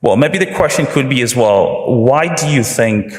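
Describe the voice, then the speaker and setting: A man asking questions at a debate, measured and a little hesitant. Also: mid-range voice, expressive. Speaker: audience questioner. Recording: echoey hall reverb.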